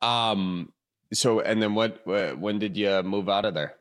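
Low-ish voice guy hosting a podcast, jokey and chatty, lots of uh and folks. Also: a little slow. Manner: joking, chatty, fills with uh and folks. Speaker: podcast host. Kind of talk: casual chat and interview.

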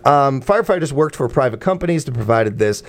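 A man's voice: medium pitch, lively intonation, fairly quick. A man hosting a radio morning show in a studio, ranting with passion and tripping over his words with fillers and restarts. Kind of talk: opinionated radio talk.

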